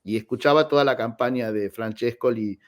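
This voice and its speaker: A man in his forties with an Argentine accent, a guest telling personal anecdotes in an expressive, conversational radio interview style. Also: medium pitch, brisk, crowd noise. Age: 40s. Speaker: guest, tenor singer.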